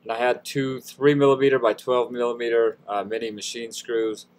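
A man's voice: medium pitch, level tone, moderate pace, a little mellow. A man giving a casual, folksy how-to voice-over in an informal, self-deprecating way. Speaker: DIY tutorial host.

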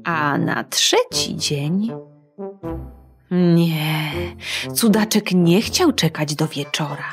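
A woman narrating a children's audiobook in a playful, expressive way. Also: sits low, drawn-out words, soft background music. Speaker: storyteller.

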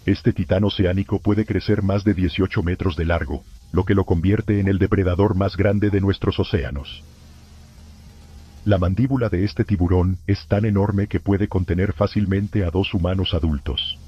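A man playing a grave emergency broadcast announcer, narrating scripted horror warnings in a solemn, formal, measured way, through muffled lo-fi sound. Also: low voice, fairly even intonation, slightly rough.

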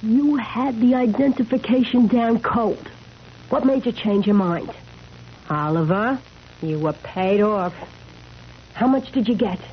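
A woman's voice: fairly high pitch, a little slow, pauses often. An actress playing an insistent, accusing woman in a 1970s radio drama, confrontational, on a muffled recording with a hum. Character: sharp, accusing woman pressing a man for truth.

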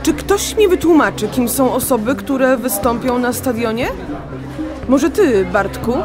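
A woman's voice: fairly high pitch, expressive, unhurried, over music, a crowd in the background. A woman playing a proud but firm class teacher in a children's radio play. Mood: questioning.

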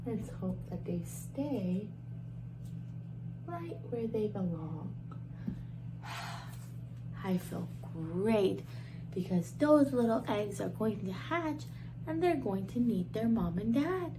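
A woman in her thirties teaching toddlers in a video, talking to the children in an animated, exaggerated way with gasps and questions, filmed at close range in a small room. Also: mid-range voice, slow, long pauses, background noise.

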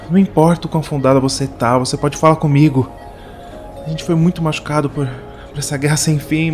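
A man plays a devoted young man in an audio drama who speaks earnestly and pleads. His voice is a little high for a man, with soft music behind it. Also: lightly acted.

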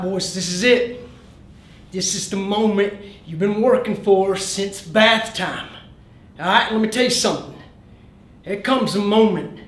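A man's voice: high-pitched, slight background noise, voice pushed down. A man playing a solemn, earnest boys' coach, speaking in emphatic clipped phrases. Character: solemn, earnest boys' sports coach giving pep talk. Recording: roomy echo.

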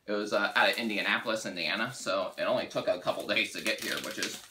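A man's voice: fairly low pitch, quick pace, background noise. A young American man casually hosting a how-to tutorial, talking informally as he demonstrates. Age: young adult. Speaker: weather radio hobbyist, video host.

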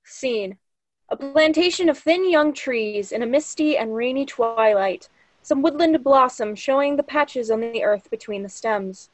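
A woman's voice: sits high, lively intonation, unhurried, poor audio. A young woman reading stage directions as scripted narration. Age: young adult. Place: small lit room at home, on a video call.

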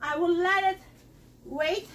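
A middle-aged woman hosting a live cooking demonstration in her home kitchen, talking to viewers in a chatty, excitable way. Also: extremely high pitch, slow pace, distant mic. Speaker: host selling kitchenware. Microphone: camera across the counter.

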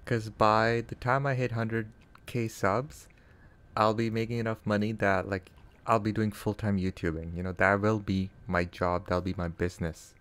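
Relaxed Canadian man streaming a game. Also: on the low side, moderate pace, clear, resonant tone. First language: not English. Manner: relaxed, chatty, answers chat and laughs often. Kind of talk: casual live-stream chat while gaming.

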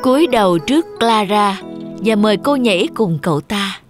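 A woman's voice: medium pitch, expressive, normal speed. A woman narrator reading a scripted children's fairy tale as a storyteller. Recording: voice-over with music behind. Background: clear background music.

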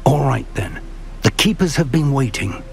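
An actor playing a concerned, supportive elderly wizard professor in a video game, earnest and expressive. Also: male voice, mid-range voice, normal speed, vocal fry. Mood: reassuring. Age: older. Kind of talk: cinematic video game acting.

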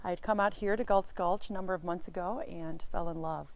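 Lower-pitched thirties woman from Chicago, warm storytelling intro in a garden. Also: steady tone, brisk. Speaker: sales director. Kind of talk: casual self-introduction. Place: outdoor garden.

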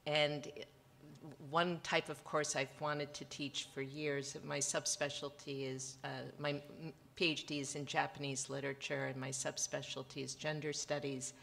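A woman from the United States speaks as a professor on a festival panel. Her voice is very low and even, with a gravelly creak. She talks in a measured, academic way. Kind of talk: panel self-introduction. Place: event hall with a panel on stage.

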